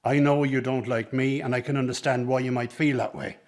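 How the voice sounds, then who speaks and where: A man's voice: mid-range voice, fairly even intonation, fairly quick, close to an everyday voice. A man acting in a radio drama as a scheming circus man, talking in an ingratiating, persuasive, coaxing way. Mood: earnest, coaxing. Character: smooth-talking, scheming circus man, mother's old flame. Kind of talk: dramatic radio play dialogue.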